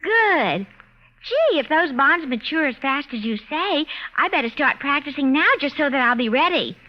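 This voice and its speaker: An actress playing an eager, naive young housewife with a chirpy, wheedling manner in a vintage radio comedy advert. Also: female voice, high-pitched, expressive, normal speed. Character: ditzy, eager young housewife dreaming of singing stardom. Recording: muffled vintage radio broadcast.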